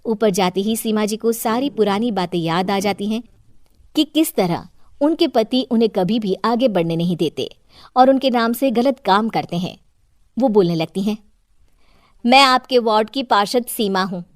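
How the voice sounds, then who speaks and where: A woman's voice: medium pitch, expressive, moderate pace, darkish tone, dry recording, clean studio sound. A woman narrating a scripted moral story as storyteller.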